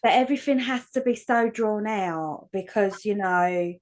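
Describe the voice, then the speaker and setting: A woman's voice: mid-range voice, a little slow. A woman from West London with a mixed London accent, co-hosting a casual podcast chat. She talks chattily, laughs easily and throws in jokey asides. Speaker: podcast co-host.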